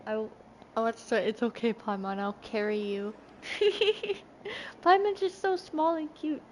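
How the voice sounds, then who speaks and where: A woman livestreaming a game, reading aloud and reacting excitably in a casual style. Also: a little high, measured pace, slight background noise. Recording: game music behind the voice.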